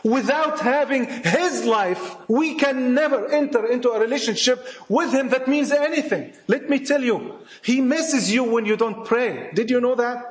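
A man with an Egyptian accent delivers a sermon in a very high voice. He is emphatic and repeats his points, firing rhetorical questions at the congregation. The sound bounces around an echoey hall. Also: normal speed, hardly any pauses. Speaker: preacher. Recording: echoey room.

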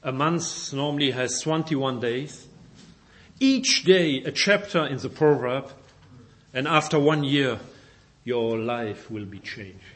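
A man's voice: mid-range voice, slow pace, fairly bright tone. A Belgian man with a German-speaking accent preaching a sermon in careful, non-native English, often repeating and correcting his words as he reads scripture aloud.

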